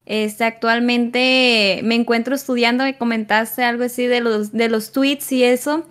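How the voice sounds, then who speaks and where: A woman's voice: fairly high pitch, fairly even intonation, measured pace, clear, resonant tone. A woman, answering interview questions hesitantly with many fillers. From Mexico. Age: 20s. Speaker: content creator guest.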